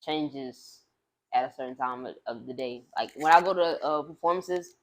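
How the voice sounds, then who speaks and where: A woman's voice: a bass voice, moderate pace. A woman with a light voice, a singer talking loosely with many fillers as a podcast guest. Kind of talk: casual conversation.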